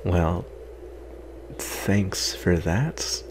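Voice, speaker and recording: A man playing a guarded, weary yet secretly tender vampire in an intimate ASMR roleplay, soft-spoken with halting pauses. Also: low-pitched, animated, slight background noise, exaggerated voice, poor audio. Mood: tender, shy.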